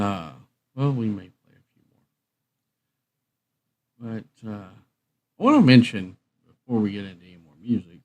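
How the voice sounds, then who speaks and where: A man hosting a music livestream in casual chat, rambling in an easygoing way with trailing asides. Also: fairly low pitch. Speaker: streamer and host of a music channel.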